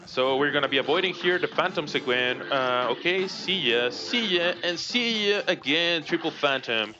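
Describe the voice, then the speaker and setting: Spanish-accented Mexican man, 30s, relaxed live gameplay commentary; muffled stream audio over clear game music. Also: on the high side, normal speed. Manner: relaxed, chatty, laughs often, reads chat aloud. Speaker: speedrunner.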